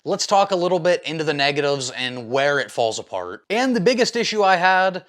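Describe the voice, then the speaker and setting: A man with a slightly high-pitched voice gives a casual, opinionated film review as the host. He speaks informally and with enthusiasm, using plenty of slang as he shares his views. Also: sing-song, a little fast, fairly bright tone. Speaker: movie reviewer, video host.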